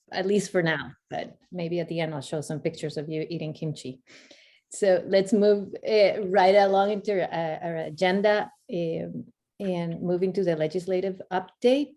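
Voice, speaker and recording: A woman's voice: lowish voice, mellow. A woman chairing a board meeting over a video call, light and friendly as she moves along the agenda.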